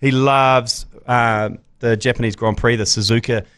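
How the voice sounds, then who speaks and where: A New Zealand man hosting a casual sports podcast, rambling and slangy, his voice hoarse. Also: medium pitch, fairly even intonation, unhurried, fairly bright tone, no room sound. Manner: laid-back, rambling, slangy. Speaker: podcast host.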